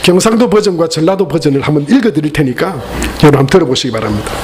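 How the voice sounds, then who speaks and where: A high-pitched male pastor preaches in a church sanctuary. He is earnest, yet he throws in playful asides to his congregation. A faint hiss of noise sits under his voice. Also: lively intonation, rapid-fire. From Gyeongsang region, Korea. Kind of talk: Sunday sermon.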